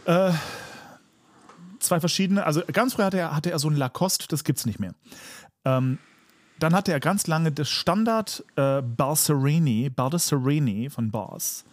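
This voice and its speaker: A man's voice: fairly high pitch, unhurried, dry recording. A man co-hosting a podcast in casual chat, speaking emphatically and repeating words for emphasis. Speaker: podcast co-host.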